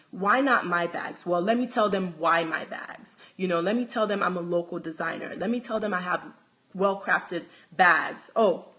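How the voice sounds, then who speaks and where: A woman's voice: medium pitch, fairly bright tone. Young Nigerian-accented woman, casual phone livestream host, chatty, loses her thread and restarts. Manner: chatty, restarts often, reads out comments. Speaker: handbag designer hosting a livestream. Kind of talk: casual livestream chat answering viewer comments.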